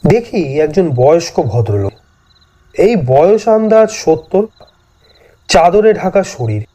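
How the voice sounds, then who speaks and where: A man's voice: fairly high pitch, sing-song, takes it slowly, mellow. A man telling a scripted first-person horror story as a dramatic narrator.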